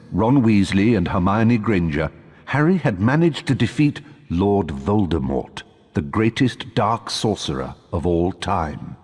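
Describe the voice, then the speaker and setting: A British man playing a measured storyteller who narrates a wizard tale for a video game. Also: on the low side, darkish tone, breathy edge, long reverb tail, heavily acted.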